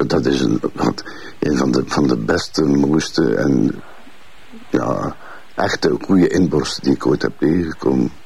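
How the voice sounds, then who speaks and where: A man playing a haughty, defensive middle-aged bailiff father with a Flemish accent, gravelly and husky, denying accusations haltingly. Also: rumbling low, a little mellow, exaggerated voice, rough recording. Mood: halting, guarded. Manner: halting, repetitive denials.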